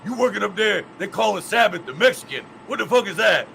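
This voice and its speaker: A man's voice: sits high, expressive, quick pace, creaky, sharp tone, a little hiss or hum. A man, co-hosting a wrestling podcast, telling rambling, profane stories about ring announcing. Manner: swears freely, rambling storyteller. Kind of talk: casual profane chat.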